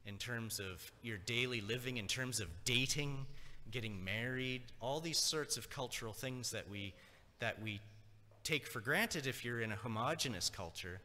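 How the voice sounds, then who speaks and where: Bright-voiced French-native man lecturing. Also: lowish voice, a little hiss or hum. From Nova Scotia, Canada. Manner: chatty, checks in with the audience. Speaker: conference speaker. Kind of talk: conversational lecture. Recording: long reverb of a hall.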